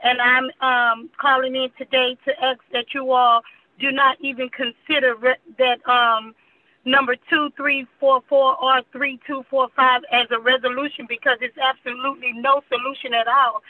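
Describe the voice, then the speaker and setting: A woman from Houston calling in to give earnest public comment, halting now and then. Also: sits high, flat delivery, pushed and bright, low-quality recording. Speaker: caller.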